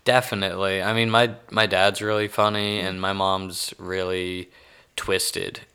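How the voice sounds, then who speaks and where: A man's voice: deep voice, level tone, a little slow, sharp tone, clean tone. A man with a Texan American accent chatting casually as an interview guest, self-deprecating and wry, feeling out his phrasing as he goes. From born in Hong Kong, raised between Texas and Pakistan.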